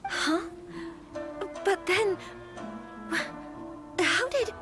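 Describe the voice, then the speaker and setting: An actress playing a gentle, earnest young noblewoman in a video game, speaking politely and hesitantly. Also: very high voice, expressive, extremely slow, music in the background, heavily acted. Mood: surprised, uneasy. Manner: polite, hesitant, sighing delicately.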